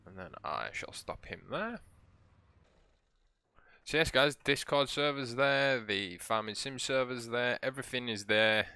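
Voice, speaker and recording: A man with a croaky, hoarse voice, giving relaxed, rambling commentary as he plays a farming game for his channel. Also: medium pitch, unhurried, no room sound. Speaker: let's play host. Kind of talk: casual gameplay commentary.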